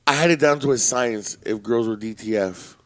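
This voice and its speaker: A man's voice: medium pitch, moderate pace, a bit bright, no echo. A young man co-hosting a podcast, blunt and boastful, swearing freely in casual banter. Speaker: podcast co-host.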